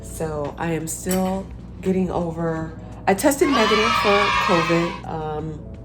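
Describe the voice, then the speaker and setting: A woman in her thirties, a parent talking casually to camera while getting over a cold, sounding congested and thinking out loud with many pauses. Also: fairly low pitch, very noisy. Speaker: vlogger, parent.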